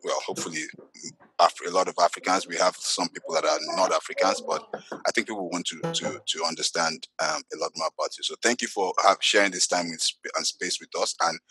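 A man's voice: steady tone, a little fast, husky, rough recording. A middle-aged man co-hosting a casual video-call interview, teasing and joking with his guest. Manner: teasing, laughs a lot, gets loud and high when joking. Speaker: co-host. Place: indoor room at home. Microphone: headset on a video call.

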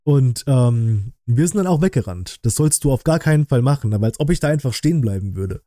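Guy in his 30s doing chatty reaction commentary, really dark mellow voice, super dry sound, slangy. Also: medium pitch, expressive, a little fast, no room sound. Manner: colloquial, slangy. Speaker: reaction video host. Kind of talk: casual live reaction commentary.

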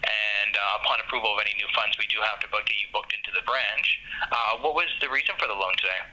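A man's voice: lowish voice, fast, bright, forceful tone. A man working as a bank call agent, patient and polite, speaking over a telephone line. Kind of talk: customer service phone talk.

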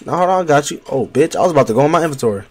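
A young man livestreaming a game on a headset, chatting loosely in slang and trash-talking enemies, his voice deeper while getting over a cold. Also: lively intonation, very fast, breathy edge. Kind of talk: casual gameplay commentary. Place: home gaming setup, indoors.

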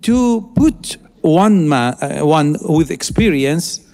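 Halting middle-aged judge. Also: male voice, a little high, expressive, darkish tone. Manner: halting, filler-heavy English with Arabic phrases. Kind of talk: live talent show judging.

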